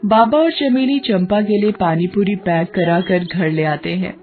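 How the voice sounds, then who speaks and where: A woman narrating a cartoon story in a measured storytelling manner. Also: mid-range voice. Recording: dull lo-fi audio, faint music.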